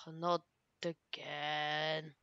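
Casting note: teen girl, low and unhurried, halting English, smooth dry close-mic sound. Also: level tone, fairly bright tone, no room sound, lo-fi sound. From Belgium. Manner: halting, searches for English words. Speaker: gaming youtuber. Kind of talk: casual gameplay commentary.

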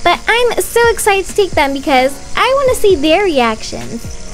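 A woman with a high, sweet voice hosts a storytelling roleplay video. She chats in a motherly way as she narrates, with music playing behind her. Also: sing-song, moderate pace, poor audio. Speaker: channel host and narrator. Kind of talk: roleplay storytelling over a life-simulation game. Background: background music.

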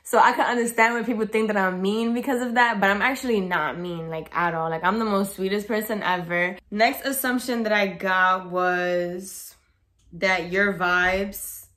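A woman's voice: medium pitch, normal speed. Blunt young woman chatting to camera. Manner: blunt, outspoken, animated with hands. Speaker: vlogger. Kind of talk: casual talk to camera. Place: bedroom, indoors.

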